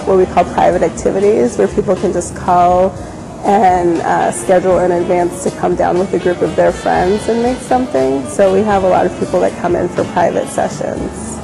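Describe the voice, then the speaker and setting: Gravelly young woman. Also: lowish voice, poor audio. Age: young adult. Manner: casual, with frequent fillers and restarts. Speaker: glass studio staff member. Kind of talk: on-camera interview about a venue. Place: large indoor gallery space. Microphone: lapel mic. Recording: music bed behind the voice.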